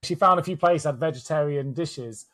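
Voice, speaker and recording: A man with a slightly husky voice, chatting upbeat on a podcast from his home office over a video call. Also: on the high side, normal speed, fairly bright tone. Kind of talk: casual conversational podcast chat.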